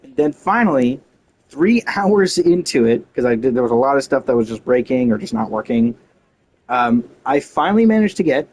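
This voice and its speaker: A man's voice: medium pitch, sing-song, moderate pace, a little mellow, slight background noise, poor audio. A man chatting casually on a game livestream, rambling and cutting himself off with mildly exasperated, slangy grumbling. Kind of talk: casual rambling stream chat.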